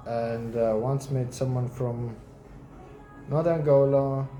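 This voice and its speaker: A man's voice: mid-range voice, fairly even intonation, takes it slowly, warm tone, muffled recording. Hesitant young man from South Africa. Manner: hesitant, restarts with ums. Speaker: customer. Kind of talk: casual chat. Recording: echoey room, faint music.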